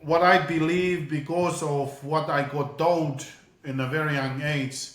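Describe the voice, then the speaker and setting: A man's voice: a little high, fairly even intonation, unhurried, fairly bright tone. Earnest man in an echoey room. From Tonga. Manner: earnest, repeats words as he searches for them. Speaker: guest. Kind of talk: casual interview.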